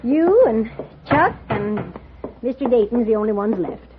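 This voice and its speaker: Casting: motherly older frontier landlady, no-nonsense, high swooping voice, old radio western, noisy muffled recording. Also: animated. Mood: hospitable. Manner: brisk, no-nonsense, scolding. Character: bossy, motherly older frontier boarding-house woman. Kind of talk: 1950s radio western acting.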